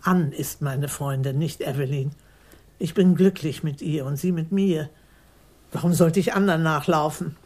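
A woman's voice: low-pitched, normal speed, darkish tone. Radio crime drama: stout middle-aged female marksman, blunt, defiant, bone-dry sarcasm. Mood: defensive, blunt. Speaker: murder suspect, former maths teacher.

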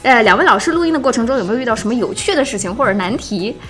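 High, bright, fast-talking female host. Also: expressive. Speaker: host reading listener questions. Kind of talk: casual chat.

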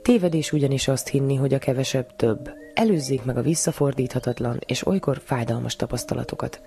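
A man's voice: medium pitch, vocal fry, dark tone, faint music behind. A man presenting a radio science programme in scripted narration.

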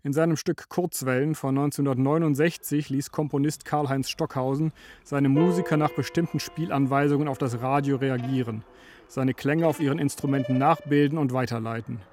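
A man narrating a scripted radio essay in a measured, lecturing way. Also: mid-range voice, fairly even intonation, darkish tone.

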